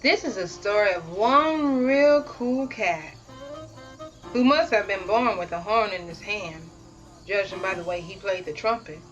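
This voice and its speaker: A woman reading a children's story aloud as host, expressive and dramatic, drawing out key words for emphasis. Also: medium pitch, measured pace, lo-fi sound, soft background music. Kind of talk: read-aloud children's picture book narration.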